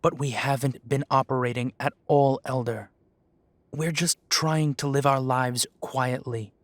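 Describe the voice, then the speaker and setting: A man's voice: mid-range voice, steady tone, a little slow, dry recording, lightly acted. An actor playing a defiant young male werewolf who answers the council with dry, mocking politeness. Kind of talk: audio drama acting.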